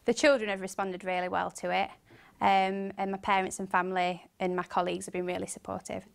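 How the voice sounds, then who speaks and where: A woman's voice: mid-range voice, little pitch movement, brisk, vocal fry. A young woman, a primary school teacher, speaking informally in an interview.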